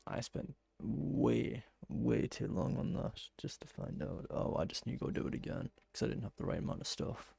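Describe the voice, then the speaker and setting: Gravelly, mellow-voiced man playing a game. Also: mid-range voice, lively intonation, moderate pace, few pauses. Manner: thinking aloud, muttering and exclaiming to himself. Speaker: gaming streamer playing and commenting. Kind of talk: casual live gameplay commentary.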